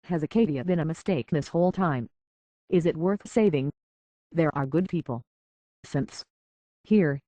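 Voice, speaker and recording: Troubled young game heroine, earnest, halting, hushed, searching, dry studio-like sound. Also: female voice, low-pitched, no echo. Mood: troubled, pleading. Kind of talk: video game voice acting.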